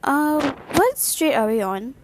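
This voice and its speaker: A woman's voice: high-pitched, takes it slowly, vocal fry, heavily acted. A woman playing a nervous, wary young renter with a wry streak in an amateur radio drama, with some noise behind her. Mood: polite, unsure. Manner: hesitant, expressive, wry.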